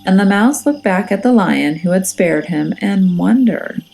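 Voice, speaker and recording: Mellow woman narrating a children's storybook. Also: medium pitch, creaky, rough recording. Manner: measured, expressive, suspenseful. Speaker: teacher reading aloud. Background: music and nature sounds.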